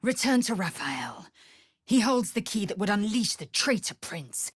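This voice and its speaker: A woman playing a proud, fierce githyanki warrior in a video game, with a husky, raspy voice and a clipped, formal, commanding way of talking. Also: mid-range voice, sing-song, unhurried, no room sound, studio quality. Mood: stern, resolute. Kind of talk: video game character voice lines.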